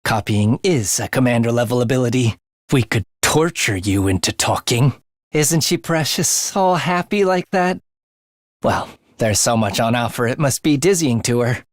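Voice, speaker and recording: A man's voice: medium pitch, animated, moderate pace, dry recording, clean studio sound, natural voice. A man voicing a morbid, bloodthirsty young male student scientist in an English video game, speaking theatrically with a sinister relish for the macabre. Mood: cool, analytical, then gleefully menacing.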